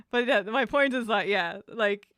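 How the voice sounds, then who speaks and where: A woman hosting a casual livestream interview, chatty and animated, with frequent laughs and fillers. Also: a little high, no room sound, studio quality.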